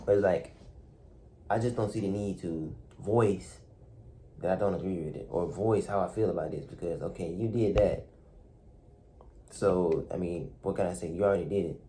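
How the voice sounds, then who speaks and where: A young man, talking softly and hesitantly to the camera in a dark room, with many fillers and restarts. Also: low-pitched, normal speed, pauses often, a little hiss or hum, poor audio. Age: young adult. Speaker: vlogger. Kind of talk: reflective talk to camera.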